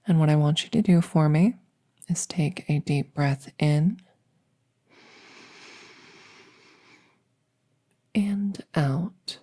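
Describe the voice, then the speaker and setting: A woman playing a gentle, reassuring veterinarian in a soft-spoken ASMR roleplay, hesitant and soothing as she explains. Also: deep voice, measured pace, slightly rough. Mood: gentle, coaxing. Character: gentle, reassuring female veterinarian examining a werewolf patient. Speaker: audio roleplay performer.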